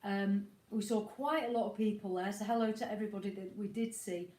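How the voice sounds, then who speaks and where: A woman's voice: mid-range voice, brisk. A woman with a cold and a slightly snotty voice, chatting casually to viewers at home on a livestream. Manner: chatty, rambling, warm greetings to viewers. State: has a cold, a bit snotty. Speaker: co-host of a motorhome live chat. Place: at home, indoors. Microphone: iPad. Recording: roomy echo.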